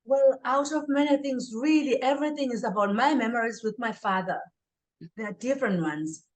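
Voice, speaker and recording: A woman's voice: on the high side, fairly quick. A middle-aged woman with a southern African accent speaks conversationally as an interview guest, telling personal stories emphatically. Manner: emphatic, acts out her inner dialogue.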